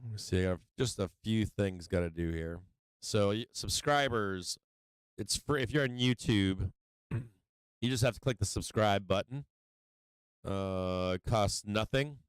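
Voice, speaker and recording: Low, smooth-voiced man hosting a livestream. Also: measured pace, no echo. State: voice starting to go. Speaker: livestream show host. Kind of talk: casual livestream chat to viewers.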